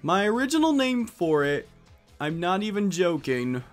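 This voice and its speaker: A young man giving casual, animated commentary as the host of a video game let's play. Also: high voice, normal speed, faint music behind.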